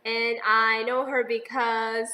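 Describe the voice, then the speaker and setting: Bright-voiced young student interviewer. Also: female voice, fairly high pitch, level tone, takes it slowly. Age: 20s. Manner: friendly, asks simple questions, small grammar slips. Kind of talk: casual interview questions.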